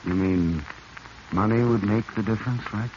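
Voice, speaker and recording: Casting: male actor as courteous devoted young man, low-pitched, old radio melodrama, hissy recording. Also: normal speed, a little mellow, muffled recording, close to an everyday voice. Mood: tender, pleading. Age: young adult. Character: earnest, polite young archaeologist and devoted suitor. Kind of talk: 1940s radio acting.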